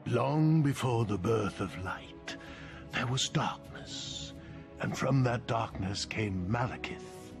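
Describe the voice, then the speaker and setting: An older, solemn king of legend, speaking in a grave, unhurried cadence as he recounts ancient darkness in a game cutscene. His pitch sits at an ordinary level for a man, and music swells behind him. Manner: grave, measured storytelling. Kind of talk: scripted cutscene narration.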